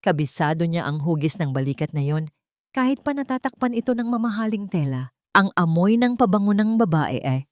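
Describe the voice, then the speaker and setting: A woman's voice: lowish voice, expressive, fairly quick, a little mellow, no echo, studio quality. A woman reading a scripted, dramatic love story as a storyteller.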